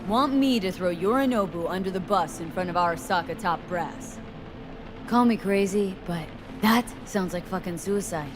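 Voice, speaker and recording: An actress playing a wary, defiant, foul-mouthed young mercenary in a video game, speaking in a clipped, streetwise, sardonic way. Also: female voice, on the low side, normal speed, natural voice. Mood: wary, dismissive. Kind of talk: video game dialogue acting. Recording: music behind the voice.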